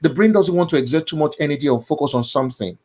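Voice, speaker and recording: A man's voice: on the high side, animated, very fast, a little mellow. Nigerian guy explaining brain stuff, emphatic, keeps repeating himself and going "all right?". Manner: emphatic, repeats key phrases, checks in with the listener. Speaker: host.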